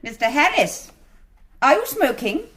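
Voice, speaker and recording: An actress with a British accent playing a prim, sharp elderly landlady in a radio drama, speaking in a crisp, formal, cutting way. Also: high voice, big pitch swings, a little slow, heavily acted. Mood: scolding. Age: older.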